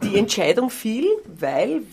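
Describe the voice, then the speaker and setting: A woman's voice: medium pitch, very animated, unhurried, a little mellow, husky. A woman with an Austrian accent interviewing a guest in a casual, teasing and playful way as a radio host. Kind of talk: casual, bantering interview chat. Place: radio studio.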